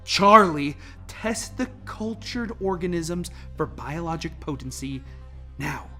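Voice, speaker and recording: A woman's voice: low-pitched, takes it slowly, pushed and bright, soft background music, heavily acted. A woman playing a testy, defensive lead scientist in an amateur radio play. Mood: curt, commanding.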